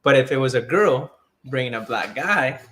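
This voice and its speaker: A man from Colombia speaks in a casual discussion, rambling and restarting, with "like" in nearly every sentence. His tone is bright and forward, with an edge of tension. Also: mid-range voice, lively intonation, moderate pace. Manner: loose, rambling, full of "like" and restarts. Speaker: guest.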